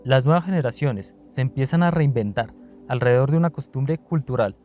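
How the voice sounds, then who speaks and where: A man's voice: mid-range voice, brisk, mellow. A man reading scripted documentary narration. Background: music.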